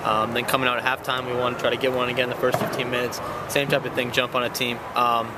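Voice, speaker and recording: A young male soccer player talks quickly and casually after a night game, stumbling through fillers and restarts. He is recorded outdoors on the field, with loud traffic noise behind him. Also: mid-range voice, little pitch movement. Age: young adult. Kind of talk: postgame interview.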